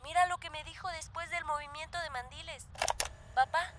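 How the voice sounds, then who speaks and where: A woman's voice: sits high, normal speed, heavy background noise, natural voice. An actress playing a determined, inquisitive young woman in a radio drama, earnest in manner. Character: determined, earnest teenage daughter and young community interviewer.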